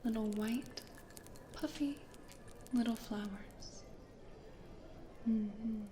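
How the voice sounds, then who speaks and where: Slow whispering American ghost woman, acted by a woman. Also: on the high side. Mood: wistful, gentle. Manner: soft, hesitant, breathy murmuring. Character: gentle, anxious plant-loving woman ghost from the 1800s. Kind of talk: whispered ASMR roleplay. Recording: roomy echo, audible background noise.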